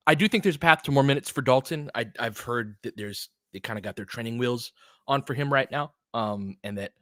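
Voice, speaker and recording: Guy doing laid-back hoops commentary, slangy n conversational, medium pitch, very dry sound. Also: fairly bright tone, dry recording. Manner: conversational, slangy, clipped phrases during play. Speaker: basketball play-by-play streamer and host. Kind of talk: casual fan commentary, play-by-play mixed with chat.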